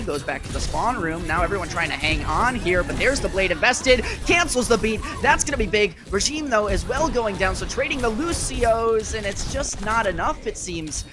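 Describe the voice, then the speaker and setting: A man with a fairly high voice commentates a competitive video game live. His phrasing is conversational and wry as he follows the play. Music is clearly audible behind him. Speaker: esports commentator.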